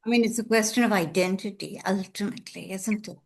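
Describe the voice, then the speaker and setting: A woman's voice: medium pitch, fairly even intonation, fast talker, vocal fry, a little mellow. A woman in a casual group discussion on a video call, chiming in with a questioning, conversational manner. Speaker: book club participant.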